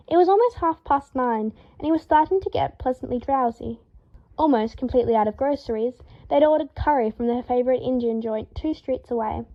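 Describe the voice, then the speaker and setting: A woman reading a story aloud as narrator in an expressive, scripted style. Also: fairly high pitch, dull audio. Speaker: fan fiction narrator.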